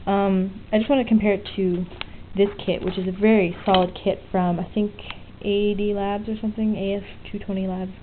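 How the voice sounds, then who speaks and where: Thin, phone-like audio with faint noise carries a woman's voice at an ordinary pitch. She talks informally and frankly, explaining as she goes through an unscripted hands-on tutorial. It sounds like a homemade hobby recording. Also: little pitch movement, a little fast, hardly any pauses. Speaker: DIY electronics hobbyist presenting a tutorial. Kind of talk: casual unscripted hands-on demonstration.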